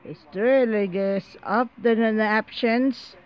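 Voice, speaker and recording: A person presenting a casual home unboxing video in a halting, disjointed way, often repeating words. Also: mid-range voice, clean tone, dull audio. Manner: halting, disjointed, repetitive delivery. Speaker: amateur video maker showing gadgets.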